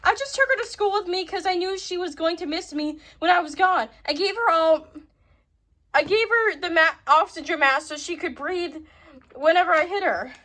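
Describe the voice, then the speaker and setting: A woman's voice: very high voice, moderate pace, pushed and bright. A woman voicing a hesitant, anxious schoolgirl full of excuses in a read-aloud children's story. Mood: pleading. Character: schoolgirl, evasive and anxious, hiding a duckling.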